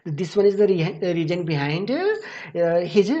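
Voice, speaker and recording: Low, dark-voiced Indian woman teacher. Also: sing-song, muffled recording. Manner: halting, repetitive, rephrasing each point. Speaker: English literature teacher. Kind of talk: explanatory lesson on a short story.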